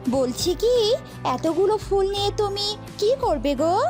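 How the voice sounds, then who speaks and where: Woman as a high-pitched friendly bird vendor. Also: expressive, a little slow, over music. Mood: friendly, curious. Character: Tuni, friendly bird flower seller.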